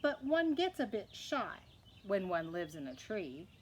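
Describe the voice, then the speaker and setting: A woman's voice: medium pitch, very animated, unhurried. A woman plays a kindly, eccentric old lady in a children's storybook. She is shy yet chatty and speaks in lilting rhyme, with some noise behind her. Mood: shy, unbothered. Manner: chatty, rhythmic rhyming speech.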